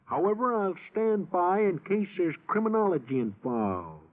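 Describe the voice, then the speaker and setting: A pompous, excitable policeman, high in pitch and swooping widely, in a comic old radio ad. The sound is thin and tinny. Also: normal speed. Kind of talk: old radio comedy advertisement.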